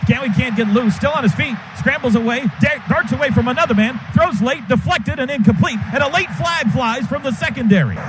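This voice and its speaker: A man doing live radio play-by-play of a football game, quick clipped calls that build to shouts on big plays. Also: extremely high pitch, lively intonation. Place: stadium radio booth. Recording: radio broadcast with loud crowd noise.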